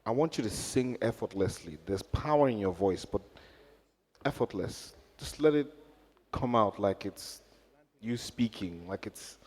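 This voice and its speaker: A man's voice: fairly low pitch, animated, unhurried, darkish tone, slightly rough. A man judging a singer, earnest and searching for his words. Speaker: talent show judge. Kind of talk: live critique. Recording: reverberant hall.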